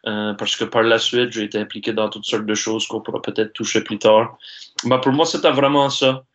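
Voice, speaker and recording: Flat-voiced Acadian French man in his forties. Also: fairly low pitch. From Baie-Sainte-Marie, Nova Scotia. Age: 40s. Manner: earnest, many filler pauses. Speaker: guest, university sports director. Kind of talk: interview answers. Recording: dull lo-fi sound.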